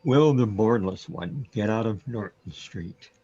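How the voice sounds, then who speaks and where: Dull-sounding, low, husky male serial announcer. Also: expressive, unhurried, warm tone. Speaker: teaser announcer. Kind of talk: scripted old-time serial cliffhanger narration.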